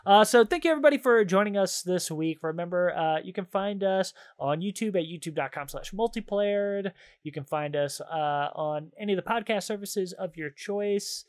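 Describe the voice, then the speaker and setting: A man's voice: high voice, normal speed, hardly any pauses. A man hosting a podcast in casual chat, playful and jokey, reading out trivia cards and often amused. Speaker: podcast host and quizmaster.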